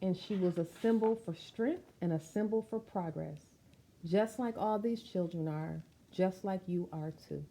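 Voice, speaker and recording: A woman's voice: lowish voice, a little slow, mellow, low-quality recording. A woman reading a children's picture book aloud, speaking expressively and directly to young listeners with chatty asides. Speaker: storytime reader.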